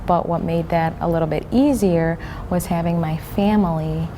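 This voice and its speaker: A woman's voice: lowish voice, fairly even intonation, moderate pace. A young adult woman, a registered nurse, answering interview questions in an earnest, methodical way with some ums and restarts. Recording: noisy recording.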